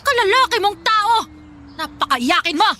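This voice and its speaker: Shrill, cruel Filipino mother. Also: animated, normal speed. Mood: raging, threatening. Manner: menacing, shrill scolding. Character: cruel, hot-tempered, scolding mother. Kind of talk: Filipino radio drama acting.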